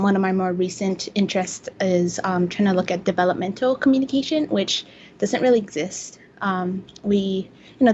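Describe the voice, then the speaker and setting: A woman from Hawaii speaking as a researcher guest, explaining things casually in a halting, thoughtful way on a lo-fi recording. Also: medium pitch, darkish tone. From Hawaii, United States. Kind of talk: casual explanatory interview answers. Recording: dull lo-fi sound with faint noise.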